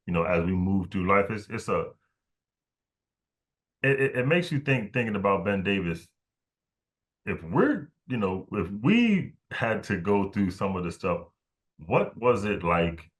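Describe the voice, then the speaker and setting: A man with a low, deep voice talks as a podcast host in a casual interview. His delivery is halting, and he often repeats words while he works out his thought. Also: sing-song.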